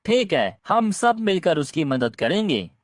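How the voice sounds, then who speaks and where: A woman's voice: lowish voice, sing-song, measured pace, a little hiss or hum. A woman voicing a friendly, encouraging male parrot in an earnest cartoon story. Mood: rallying. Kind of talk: cartoon moral story dialogue.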